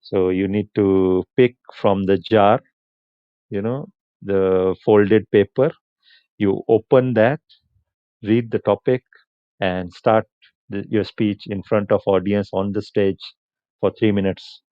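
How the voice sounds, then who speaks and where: Slow-talking man. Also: fairly low pitch, steady tone, dry recording, lo-fi sound. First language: Punjabi. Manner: unhurried, chatty, answers comments, laughs often. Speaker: livestream host. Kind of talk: casual live stream chat with viewers.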